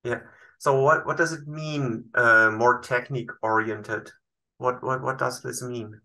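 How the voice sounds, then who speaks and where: A man hosting a podcast interview, asking casual questions in a halting way as he searches for words. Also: medium pitch, steady tone, sharp tone.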